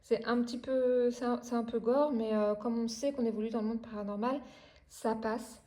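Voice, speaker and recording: A woman's voice: a little high, fairly even intonation, normal speed, dark tone. A French woman in her thirties chatting casually about her reading, with lots of hesitations and restarts. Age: 30s. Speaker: craft and book vlogger. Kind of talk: casual chat about books.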